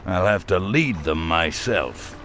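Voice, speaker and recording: A man's voice: low voice, lively intonation, slow pace, fairly bright tone, soft background music, exaggerated voice. A man playing an arrogant, short-tempered human military lord in a fantasy game, sneering and pompous. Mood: exasperated, angry.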